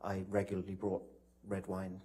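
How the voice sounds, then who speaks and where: A man giving testimony as a witness in a courtroom, hesitant and measured, with halting answers. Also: low voice, completely flat delivery, mellow. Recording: noisy courtroom broadcast feed.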